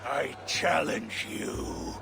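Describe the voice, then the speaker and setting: An actor playing a vengeful, scornful and brutal Viking villain in a dramatic video game. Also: male voice, medium pitch, big pitch swings, extremely slow, creaky, heavily acted. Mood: menacing. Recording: music behind the voice.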